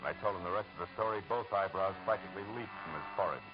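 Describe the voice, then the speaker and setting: An actor playing a wry, hard-boiled private detective in a 1940s radio noir drama, sardonic, clipped and full of wisecracks. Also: male voice, deep voice, very fast, music in the background, close to an everyday voice. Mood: dry, storytelling. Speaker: lead actor and narrator. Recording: hissy, muffled old radio recording.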